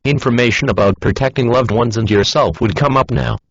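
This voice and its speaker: A man's voice: medium pitch, fairly quick, husky, no echo. A man's synthetic text-to-speech voice reading a scripted emergency broadcast announcement in a formal, instructional manner. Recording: dull lo-fi emergency broadcast audio.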